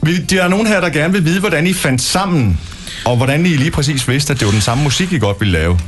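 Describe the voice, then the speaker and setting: Male morning radio presenter, fairly high pitch, informal interviewing, faint music present. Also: expressive. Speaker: radio host. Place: small radio studio. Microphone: studio microphone.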